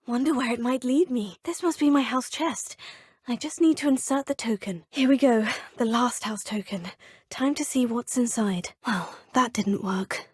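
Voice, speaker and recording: A courteous young student witch from a video game, her tone polite and composed. Her voice sits a little high, soft and breathy. It is recorded dry and close, with no room sound at all. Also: normal speed, dry recording. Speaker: player character. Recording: dry studio booth.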